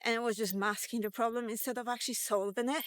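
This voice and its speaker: A woman's voice: a little high, steady tone, fast talker, dry recording, clean studio sound. A woman in her fifties talking informally and candidly in a rambling, casual vlog. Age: 50s. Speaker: vlogger. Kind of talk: casual walk-and-talk vlog.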